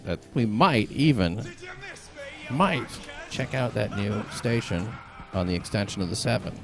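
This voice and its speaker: A man's voice: lowish voice, lively intonation, a little slow. A man hosting a casual podcast, rambling and teasing his guest with jokes. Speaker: podcast host. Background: game music playing over the talk.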